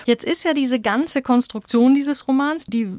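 A woman's voice: high-pitched, measured pace, a little mellow, dry recording, studio quality. A woman conducting a thoughtful literary interview in an articulate, analytical way.

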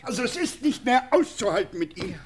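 An actor in a German radio play playing a stern, exasperated nineteenth-century schoolteacher with a demanding, indignant manner. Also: male voice, very high voice, very animated, fairly quick, a little hiss or hum.

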